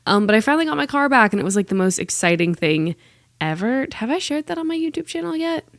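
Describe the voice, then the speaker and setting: A woman's voice: fairly high pitch, sing-song, quick pace, dry recording, clean studio sound. Chatty rambling woman in her twenties. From United States. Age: 20s. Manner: chatty, rambling, self-deprecating with frequent "like" fillers. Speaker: podcast host. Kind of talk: casual solo podcast chat.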